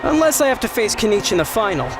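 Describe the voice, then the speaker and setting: A man's voice: sits high, big pitch swings, quick pace, a crowd in the background. An actor playing a good-natured, humble young male athlete in anime-style game dialogue. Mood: humble, friendly. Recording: music behind.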